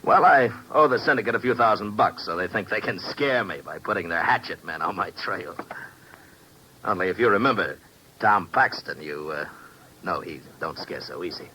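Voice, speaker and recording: An old radio drama voice, hissy and bright: a washed-up American ex-athlete turned shady private eye. He talks in edgy slang with jittery bravado. The recording is noisy and vintage. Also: sits low, expressive, normal speed. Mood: false bravado. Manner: slangy, edgy tough talk. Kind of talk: 1950s radio drama acting.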